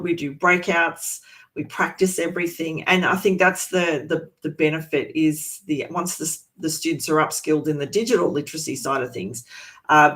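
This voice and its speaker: Aussie language teacher talking through a teaching resource, easygoing, lots of ums and restarts, mid-pitched voice. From Australia, east coast. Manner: relaxed and chatty, many ums and restarts. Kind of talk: informal presentation to educators.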